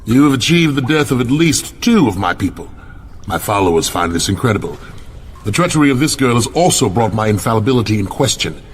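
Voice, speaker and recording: A man playing a grandiose, coolly gloating crime boss in a radio drama, with pompous, deliberate menace. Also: medium pitch, big pitch swings, slight background noise, natural voice. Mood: cold menace.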